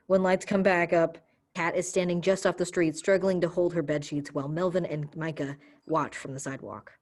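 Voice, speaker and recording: A woman's voice: fairly low pitch, steady tone, normal speed, a little mellow. A woman giving scripted narration, reading stage directions for a play reading.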